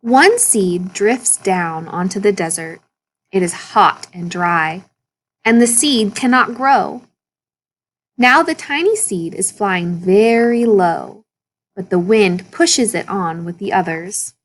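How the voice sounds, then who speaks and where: A woman reading a children's picture book aloud in an expressive storytelling manner for young listeners. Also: medium pitch, slow pace. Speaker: story reader.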